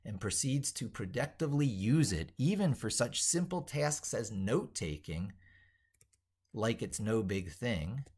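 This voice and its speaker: A man's voice: lowish voice, sing-song, measured pace, a little mellow, slightly rough. A man delivering an opinionated tech monologue in an emphatic, declarative way, reworking and repeating his phrases as he drafts them aloud. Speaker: tech educator presenter.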